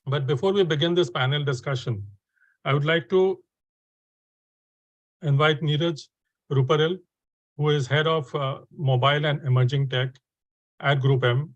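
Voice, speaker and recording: A man's voice: on the high side, dry recording, lo-fi sound. A man hosting a webinar, speaking hesitantly with many fillers and restarts. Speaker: webinar host.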